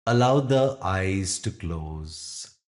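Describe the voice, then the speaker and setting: A man teaching yoga nidra, guiding a meditation gently in short phrases with long pauses. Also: low-pitched, animated. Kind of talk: guided meditation.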